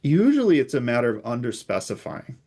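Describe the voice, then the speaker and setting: A man speaking as an expert guest in an interview, explaining technical security matters in a conversational, measured way. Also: mid-range voice, big pitch swings, darkish tone, slightly rough.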